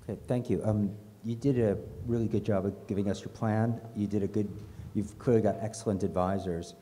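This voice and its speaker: A man on a judging panel gives measured, polite feedback in a low voice with a very dark tone. Long room reverb and faint ambience come through on the recording. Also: steady tone, slight background noise. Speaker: judge giving feedback.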